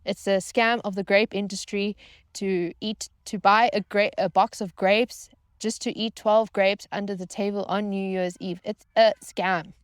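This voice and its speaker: Woman, storytelling vlogger, medium pitch, casual, comedic, dramatic emphasis, self-mocking, dry echo-free sound. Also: steady tone, measured pace, clear, resonant tone. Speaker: storytelling vlogger and former yacht crew member.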